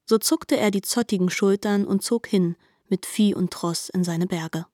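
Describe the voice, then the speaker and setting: A woman's voice: mid-range voice, a little slow, mellow, dry recording. Woman storyteller, dry close sound. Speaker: narrator. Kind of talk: literary radio drama narration.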